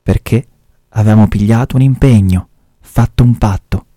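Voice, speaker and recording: Guy reading old spinster lady, calm and stubborn, low mellow voice. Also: moderate pace, no echo, studio quality, close to an everyday voice. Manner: calm, matter-of-fact, with dialect words.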